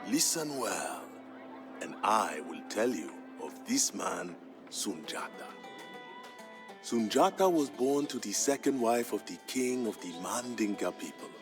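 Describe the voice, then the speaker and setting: An actor playing a proud West African griot, a solemn royal bard narrating a game's story in a deliberate, grave, theatrical manner. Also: male voice, on the low side, animated. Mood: solemn, dramatic. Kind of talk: dramatic scripted storytelling narration for a game. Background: music.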